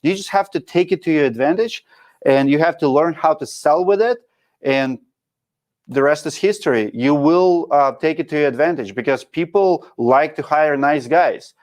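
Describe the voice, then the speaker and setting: A man's voice: fairly high pitch, normal speed, a little mellow. A man in his thirties with a Russian accent, hosting a casual livestream and coaching viewers in a frank, blunt way. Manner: frank, coaching, drops articles. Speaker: show host reading live chat.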